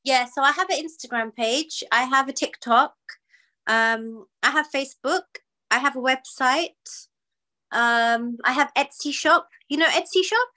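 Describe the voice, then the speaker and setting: A woman's voice: sits high, a little slow, bright, forceful tone. A woman in her thirties from Pakistan who runs a small business, talking casually on a video call from her living room, with lots of "you know" and "um". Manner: chatty, with frequent "you know" and "um". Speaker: small business owner, client.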